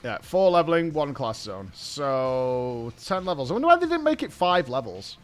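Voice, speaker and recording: A British man with a fairly high, very lively voice reacts casually as a gaming host. He thinks out loud in blunt, colloquial phrases. Music plays behind him. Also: moderate pace. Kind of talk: casual live reaction commentary.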